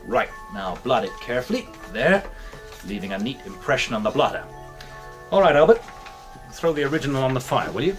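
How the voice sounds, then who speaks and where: Male, acted: showy stage conjuror for radio play, bossy patter, very animated pitch, faint music behind. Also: brisk, natural voice. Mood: intent, commanding. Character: theatrical stage magician re-enacting a murder for onlookers. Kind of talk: radio drama acting.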